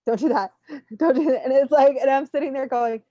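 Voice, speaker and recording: A woman giving an unscripted spiritual reading, talking in an animated, informal, rambling way as she coaches. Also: high voice, fairly quick. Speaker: spiritual reader hosting a live readings session. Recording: muffled, roomy, lo-fi online stream.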